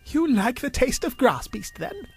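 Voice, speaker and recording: A man's voice: extremely high pitch, big pitch swings, unhurried, vocal fry, breathy edge, soft background music, pitched above the performer's own voice, heavily acted. A man voicing an eccentric, mischievous old man in an audio story, theatrical and full of teasing exclamations. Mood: breezy, friendly. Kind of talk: audio story character acting.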